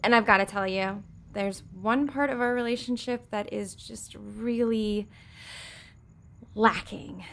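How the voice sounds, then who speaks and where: A woman's voice: medium pitch, vocal fry, fairly bright tone. A woman playing a blunt, unenthusiastic young woman in a comedic audio drama. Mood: blunt, hesitant. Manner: blunt, unhurried.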